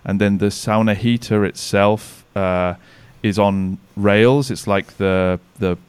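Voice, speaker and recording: A man's voice: on the low side, fairly even intonation, slow pace, clean tone, dry recording. A man talking casually as a podcast guest over a remote call, rambling with many restarts and fillers. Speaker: podcast guest, sauna business owner. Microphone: remote recording call.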